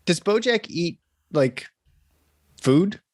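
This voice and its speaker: Animated man deliberating as judge. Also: high-pitched, slow pace, no room sound, studio quality. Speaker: guest judge. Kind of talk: casual chat.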